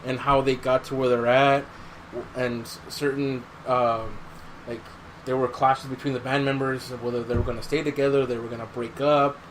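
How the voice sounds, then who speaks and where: Male podcast host, mid-pitched, relaxed chat style with storytelling, slight noise behind the voice. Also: normal speed, low-quality recording. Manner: conversational, recounting stories, says dude. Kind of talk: casual chat. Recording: clear background noise.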